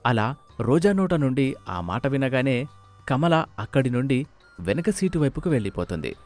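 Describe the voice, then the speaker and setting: A man's voice: medium pitch, sing-song, moderate pace, darkish tone. A male narrator reads a scripted moral tale in a mid-range voice. He takes the storyteller's part, with faint music underneath.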